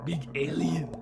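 A man voicing an excitable, dim-witted clone character with childish broken grammar while commentating on a video game. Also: fairly high pitch, creaky, exaggerated voice. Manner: broken third-person grammar, mild swearing, repetitive exclamations. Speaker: gaming video host.

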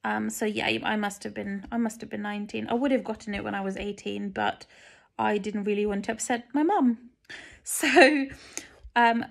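A woman's voice: medium pitch, moderate pace, creaky. A young woman from Lithuania, speaking English with a Lithuanian accent, chatting casually and rambling with lots of ums while she stitches at home. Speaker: stitching vlogger. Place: indoors at home, stitching at a table. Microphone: phone camera looking down at the stitching, speaker off frame.

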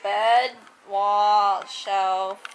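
A woman's voice: medium pitch, fairly even intonation, extremely slow, soft background music. A young woman chatting casually to a vlog camera held at arm's length in a bedroom. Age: teens to young adult. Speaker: vlogger. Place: small carpeted bedroom, indoors. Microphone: handheld camera at arm's length. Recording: noisy handheld camera audio.

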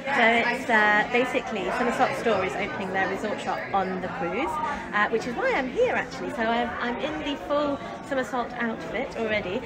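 A woman in her forties chatting casually to camera as a travel vlogger, gushing with enthusiasm. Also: on the high side. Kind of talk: casual vlog chat to camera. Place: cruise ship decks, venues and cabin. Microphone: phone at arm's length. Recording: loud music and busy crowd behind.

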